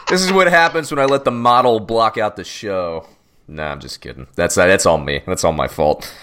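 A man's voice: on the low side, normal speed, background noise. A young man co-hosting a casual sports podcast, teasing his partner and rambling with restarts. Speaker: podcast co-host.

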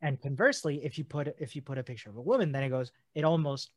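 A man's voice: medium pitch, lively intonation, a little mellow, dry recording, studio quality. A man co-hosting a podcast, talking earnestly and restarting his phrases often as he thinks aloud. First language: not German. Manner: earnest, halting with many restarts. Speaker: podcast co-host. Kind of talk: conversational podcast discussion.

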